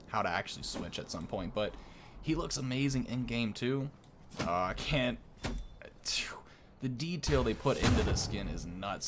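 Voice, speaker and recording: Male gaming host, lowish pitch, informal unscripted commentary. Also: background noise. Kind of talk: casual gameplay commentary.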